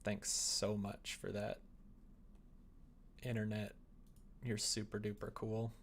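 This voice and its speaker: A man's voice: fairly low pitch, completely flat delivery, slow pace, a little hiss or hum. A young man hosting a livestream, chatting casually with a self-deprecating, sardonic, grumbling manner. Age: young adult. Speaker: livestream host. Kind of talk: casual livestream chat while working.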